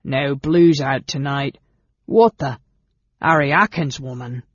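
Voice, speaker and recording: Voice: slow, slightly rough, no echo, muffled recording. Narrator doing a sneering, hissing goblin guard. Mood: suspicious, sneering. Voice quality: shrill, hissing. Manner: broken grammar, sneering. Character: suspicious, sullen male goblin guard, broken speech.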